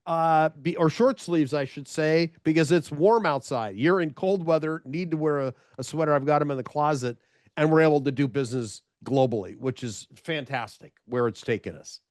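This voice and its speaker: A man's voice: fairly high pitch, moderate pace, darkish tone, no echo, clean studio sound. An American man hosting a podcast interview over a video call, chatty and rambling with frequent restarts. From United States. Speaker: podcast host. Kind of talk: casual interview chat.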